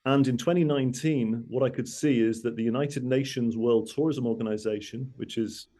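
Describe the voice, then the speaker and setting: A man with a Mancunian accent talks through a presentation over a video call. His voice is mellow and warm. He wanders into asides, restarts his sentences and corrects himself as he goes. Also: mid-range voice, fairly even intonation, normal speed. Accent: Mancunian English. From Manchester, UK. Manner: rambling, self-correcting, with frequent asides. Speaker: guest speaker.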